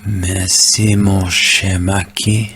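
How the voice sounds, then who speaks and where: Man playing a very slow, deep decadent libertine. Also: flat delivery, a bit bright, slight background noise, full character voice. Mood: languid, intimate. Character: languid, decadent French-speaking libertine, low and slow.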